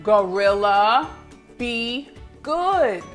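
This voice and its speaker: A woman voicing a young child in a storybook who pleads with a naughty pet in drawn-out exclamations. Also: on the high side, expressive, faint music behind. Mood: pleading scolding.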